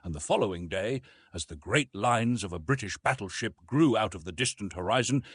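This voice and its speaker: A man reads a story aloud as an audiobook narrator. His mid-range voice has a husky edge and a very animated melody. The reading is dramatic and scripted, with a dry sound free of room echo. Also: medium pitch.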